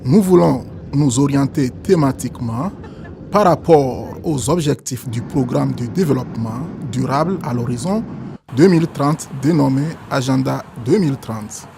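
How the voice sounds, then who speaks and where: A man reading a scripted documentary narration in a gravelly voice. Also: medium pitch, expressive, moderate pace, background noise. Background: music.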